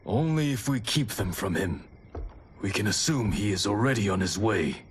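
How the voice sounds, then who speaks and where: An actor playing a stern, unforgiving warrior with formal, clipped delivery in a video game cinematic. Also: male voice, lowish voice, expressive, normal speed, slight background noise, lightly acted. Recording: distant voice under loud music.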